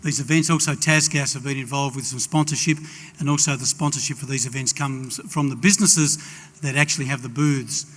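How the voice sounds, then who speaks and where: A man's voice: mid-range voice, fairly even intonation, brisk, a bit bright. A man hosting a seminar, introducing the guest speaker with many hesitations in an expo hall. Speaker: event host introducing the speaker. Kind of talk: introductory remarks. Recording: reverberant hall.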